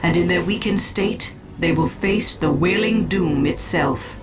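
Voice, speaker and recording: A woman's voice: lowish voice, lightly acted. Woman playing a cold, commanding female alien seer. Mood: cold, assured. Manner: measured, formal, imperious. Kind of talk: video game cutscene acting.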